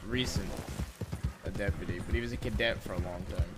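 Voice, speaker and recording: A man's voice: on the low side, fairly quick, over loud music. A man streaming a roleplay game as a lawman, chatting casually and rambling with restarts. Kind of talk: in-character roleplay chat. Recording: game horse sounds behind. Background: horse hooves and neighs from the game.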